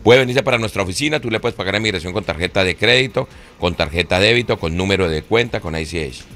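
Low-voiced man advising radio callers. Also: fast, a bit bright, slight background noise. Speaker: legal adviser on a radio show. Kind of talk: giving advice to callers.

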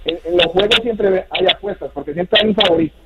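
A man's voice: high voice, fairly quick, dull audio, a loud crowd. A man calling into a radio show, speaking in a hesitant, stumbling way. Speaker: caller.

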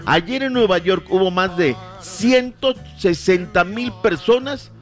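A man's voice: high voice, slow pace, soft background music. A man hosting an opinionated sports discussion show, speaking emphatically and rhetorically with formal turns of phrase. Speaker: sports talk show host.

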